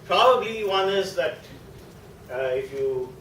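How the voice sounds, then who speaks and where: A South Indian man gives an informal lecture in a conversational, explanatory way, often adding a short tag question. His voice sits a little high for a man and carries a South Indian accent. He is recorded at some distance from the microphone in an echoey room, with faint noise behind him. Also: expressive, slow, fairly bright tone. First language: a South Indian language. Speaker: guest lecturer.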